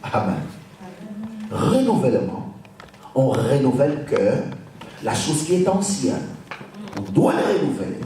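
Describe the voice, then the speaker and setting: Male guest preacher, Portuguese-accented French, slow deliberate delivery, urging louder responses, very echoey church hall heard from a distance. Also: medium pitch, very animated, a little hiss or hum. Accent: Portuguese-speaker's French. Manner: exhorting, urging the congregation to answer louder; bursts into shouted emphasis. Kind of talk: church sermon. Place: church service.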